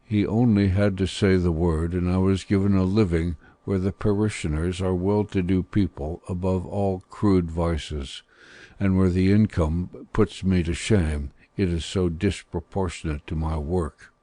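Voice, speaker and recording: A man voicing a stern, blunt older priest with hidden kindness, speaking gravely and deliberately in an audiobook. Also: low voice, fairly even intonation, dark tone, dry recording. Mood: frank, grave. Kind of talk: audiobook character dialogue.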